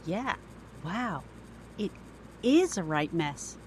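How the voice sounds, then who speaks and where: An actress playing a sarcastic, level-headed sidekick in an audio drama, with a wry, teasing manner. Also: female voice, lowish voice, very animated, slow pace, background noise. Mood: amused.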